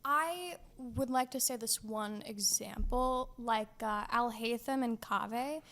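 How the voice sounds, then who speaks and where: A woman's voice: on the high side, slow, a bit bright. A young woman hosting a casual podcast, chatting about a video game in a playful, gushing, enthusiastic way. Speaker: podcast host.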